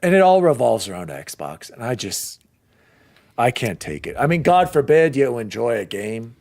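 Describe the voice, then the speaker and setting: A man hosting a casual podcast, ranting in an exasperated, animated way. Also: medium pitch, normal speed, vocal fry. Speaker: podcast host.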